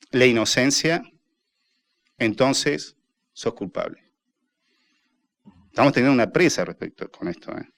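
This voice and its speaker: Argentine man, mid-pitched voice, talk radio guest explaining an argument at length. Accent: Argentine Spanish, voseo. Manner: lecturing, explains arguments step by step. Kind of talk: radio discussion.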